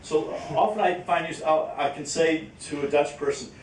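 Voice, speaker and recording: A man speaking informally at a question-and-answer session, haltingly, repeating words as he explains with examples. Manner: halting, repeats words, illustrates with acted-out quotes. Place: echoey indoor conference room. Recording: roomy, distant mic, faint rumbling noise.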